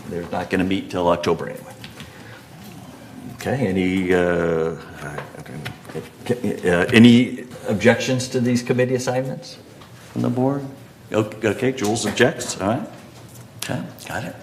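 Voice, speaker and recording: A man's voice: fairly low pitch, measured pace, a little hiss or hum. An older man chairing a community board meeting, procedural and hesitant, with many "um" and "uh".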